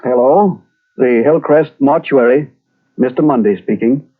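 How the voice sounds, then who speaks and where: Formal mortician, tinny old radio. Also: male voice, medium pitch, measured pace, breathy edge. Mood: solemn, courteous. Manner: formal, deferential. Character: polite, discreet male mortuary attendant. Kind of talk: 1940s radio drama acting. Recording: thin, muffled old radio recording.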